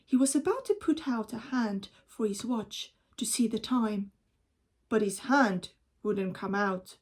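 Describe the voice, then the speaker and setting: A woman reading a children's story aloud as a teacher would, in a measured, expressive storytelling manner. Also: on the high side, breathy edge.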